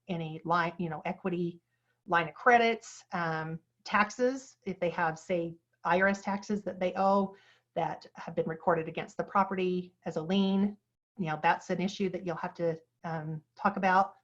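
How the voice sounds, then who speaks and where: A lowish female voice, relaxed and chatty, walks a class through listing paperwork with plenty of fillers and asides. Her throat is dry and a little rough. The recording sounds dull and lo-fi. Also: normal speed. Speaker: real estate instructor.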